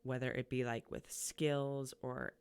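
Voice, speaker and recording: A woman hosts a casual podcast, chatty and upbeat, stumbling into quick restarts as she asks her questions. Her voice is low and gravelly yet smooth. The sound is dry, with no room echo. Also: little pitch movement, a little mellow. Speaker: podcast host, community college coach. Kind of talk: casual interview conversation.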